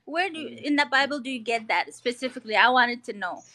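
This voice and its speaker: Woman from Ethiopia, non-native English, high bright voice, casual stream caller, faintly noisy online call. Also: animated, quick pace. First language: not English, which is at best her fourth language. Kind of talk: casual live discussion. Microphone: video call.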